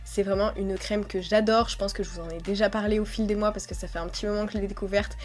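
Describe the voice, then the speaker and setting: A young woman with a stuffy, nasal voice from a cold, narrating her skincare routine as a relaxed, chatty voice-over with frequent hesitations. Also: medium pitch, fast talker. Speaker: beauty vlogger. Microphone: voice-over recorded separately.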